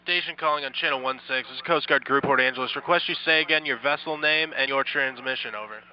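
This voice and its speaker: A man's voice: mid-range voice, fast. A man working as a coast guard radio operator, speaking in clipped, formal procedure over VHF radio. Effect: VHF marine radio. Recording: muffled VHF radio transmission.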